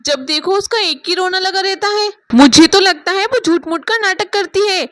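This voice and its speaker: A woman's voice: very high-pitched, moderate pace, dry recording, clean studio sound. A woman voicing a spiteful, suspicious young wife who nags and scolds in a cartoon moral story. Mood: nagging, resentful. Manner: sharp, complaining, scolding.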